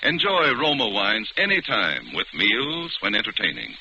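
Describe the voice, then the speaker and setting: A man's voice: fairly low pitch, very animated, normal speed, pushed and bright. A man reading a 1940s radio commercial as announcer, with polished, emphatic salesmanship. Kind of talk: 1940s radio advertising read. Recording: dull vintage radio, faint noise.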